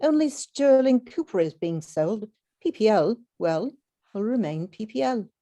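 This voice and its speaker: A woman's voice: medium pitch, lively intonation, measured pace, mellow. A woman in an amateur script reading playing a reserved, apologetic company executive. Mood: guarded. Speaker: amateur actor at a table read.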